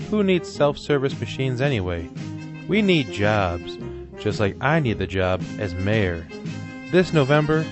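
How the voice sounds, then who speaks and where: A man playing the Hamburglar, a reformed burger-thief mascot pitching himself for mayor in a mock political ad. He speaks in a steady, lowish voice with a campaign-spot announcer's delivery. Soft music plays underneath. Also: natural voice.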